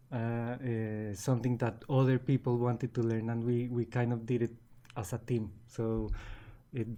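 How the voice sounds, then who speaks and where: Halting Colombian-accented man, mellow voice. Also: mid-range voice. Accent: Colombian Spanish. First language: Spanish. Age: 40s. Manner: restarts words often, halting and earnest. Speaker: guest. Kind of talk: casual interview conversation.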